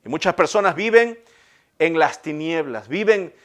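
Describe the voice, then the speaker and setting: Ecuadorian pastor, male, high-pitched, emphatic sermon delivery with repeated lines and questions. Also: animated, moderate pace, fairly bright tone. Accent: Ecuadorian Spanish, Guayaquil. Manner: emphatic, repetitive preaching with rhetorical questions.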